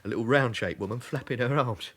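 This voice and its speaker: A man acting a wry, plain-spoken detective constable in a radio drama, colloquial and deliberate. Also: medium pitch, fairly bright tone, breathy edge. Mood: amused.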